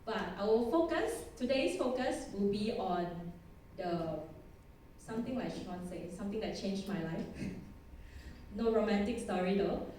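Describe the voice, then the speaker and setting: A woman in her thirties with a Thai accent gives a chatty conference talk in a big echoing hall. She sounds a little far from the mic, with soft room noise behind her. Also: mid-range voice, expressive, a little slow. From Thailand. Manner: chatty, self-deprecating, laughs often. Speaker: conference speaker. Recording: echoey hall, distant mic.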